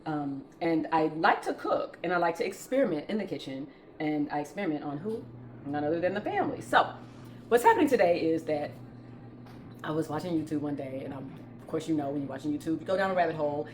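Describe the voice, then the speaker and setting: Southern lady chatting through a recipe, low voice, roomy kitchen echo. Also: lively intonation, quick pace, darkish tone, faint music behind. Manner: chatty, informal. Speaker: home cooking vlog host. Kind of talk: casual cooking demonstration talk. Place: indoors in a home kitchen. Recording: roomy kitchen echo, clattering utensil noise.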